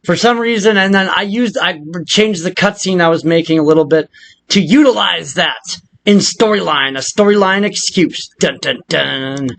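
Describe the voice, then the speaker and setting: A man hosting a casual software tutorial, rambling and muttering asides to himself in a crude, joking, profane way. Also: high-pitched, normal speed, gravelly. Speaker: video tutorial host.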